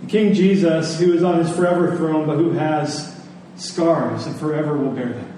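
A man's voice: fairly high pitch, warm tone. Earnest male preacher in an echoey hall. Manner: earnest, rhetorical questions, deliberate pauses. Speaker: pastor preaching. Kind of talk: sermon closing in prayer. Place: large echoey room before a congregation. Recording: echoey hall, distant mic, faint noise.

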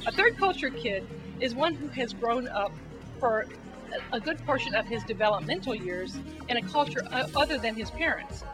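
A woman calmly explaining what third culture kids go through, in an interview for a ministry video. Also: fairly high pitch, moderate pace, poor audio. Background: music.

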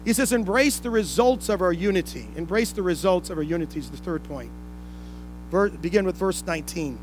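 A man's voice: high-pitched, fairly quick, faint music behind, rough recording. A man preaching a sermon to a congregation, explaining point by point with rhetorical questions and repeating key phrases for emphasis. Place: indoor room, speaking to a congregation.

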